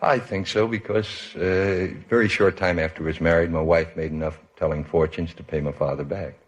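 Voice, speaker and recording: A man's voice: deep voice, fairly even intonation, moderate pace, husky. A man answering briefly and a little hesitantly as a contestant on a quiz show.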